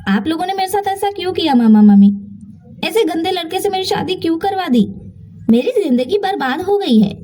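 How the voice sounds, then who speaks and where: A woman's voice: very high-pitched, very animated, moderate pace, warm tone, voice pushed up. A woman voicing a dutiful, long-suffering young married sister in a story, earnest and plaintive. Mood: hurt, pleading.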